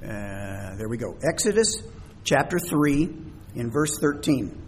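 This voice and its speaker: A man preaching a sermon in a conversational teaching style. He pauses often and repeats words, and says his voice is already tiring. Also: medium pitch, expressive, slight background noise. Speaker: pastor.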